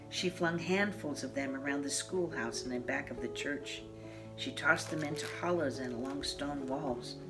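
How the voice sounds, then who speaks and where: A woman narrating a children's picture book in a measured, expressive storytelling manner. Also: extremely low pitch. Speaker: storybook reader. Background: instrumental music.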